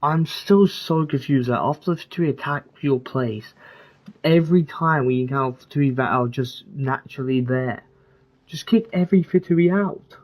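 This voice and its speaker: A man's voice: medium pitch, moderate pace, rough recording. A man giving casual, opinionated gameplay commentary as a game streamer. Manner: informal, opinionated. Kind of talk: casual gameplay commentary, reading some dialogue aloud.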